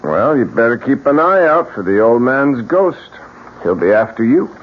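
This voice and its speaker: A man's voice: medium pitch, sing-song, normal speed. An actor playing a bitter, defiant, fatalistic man in an old radio mystery drama. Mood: morbid, bitter. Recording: dull old radio recording.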